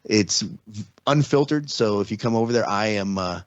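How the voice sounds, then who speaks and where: A man's voice: on the low side, expressive, normal speed, highs cut off. A man speaking as a guest on a podcast, earnest and passionate, often restarting his sentences. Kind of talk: casual podcast conversation.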